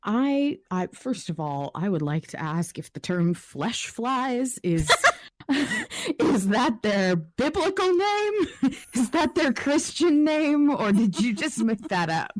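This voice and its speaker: A woman's voice: fairly high pitch, sing-song, takes it slowly, darkish tone, no room sound. A woman podcast panelist talking in a casual, informal discussion.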